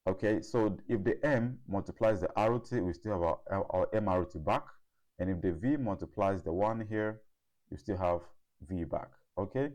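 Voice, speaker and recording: A man tutoring in a deliberate, step-by-step way, often checking in with a quick okay or right. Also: low-pitched, darkish tone. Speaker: chemistry tutor. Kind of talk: step-by-step instructional explanation.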